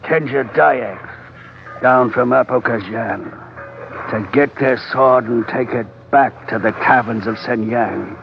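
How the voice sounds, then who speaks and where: A man acting a grim, shrewd old colonial official with a gravelly voice and terse, ominous delivery in an old-time radio adventure. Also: medium pitch, slow. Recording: muffled vintage radio recording. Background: faint dramatic music.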